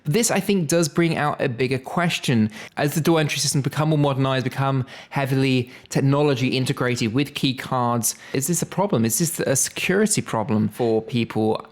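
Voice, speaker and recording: A man's voice: medium pitch, fairly quick, hardly any pauses. A man presenting a tech podcast as host, chatty and upbeat in a semi-scripted style.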